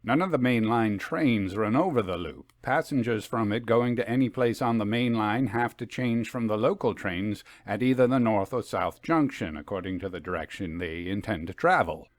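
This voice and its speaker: A man voicing a genial gentleman in an old story, with keen, confiding, old-fashioned speech. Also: lowish voice, expressive, normal speed, few pauses, no echo, natural voice. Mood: grave, explanatory.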